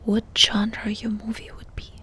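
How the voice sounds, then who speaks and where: A woman doing ASMR in a breathy whisper, softly and intimately asking questions with pauses between them. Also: on the high side, monotone. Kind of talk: whispered ASMR personal-attention roleplay.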